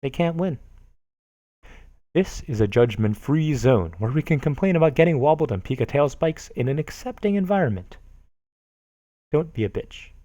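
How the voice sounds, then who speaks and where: A man hosting a stream, reading forum posts aloud with mock drama and lots of swearing, swinging from loud to soft. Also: on the high side, sing-song, moderate pace, pauses often, no room sound, clean studio sound. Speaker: stream host.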